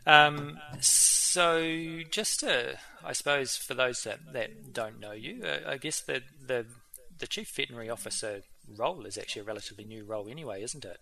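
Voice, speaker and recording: A man's voice: mid-range voice, normal speed, gravelly, few pauses, sharp tone, low-quality recording. A man hosting a vet podcast, chatting casually with many fillers and restarts. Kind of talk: casual interview chat.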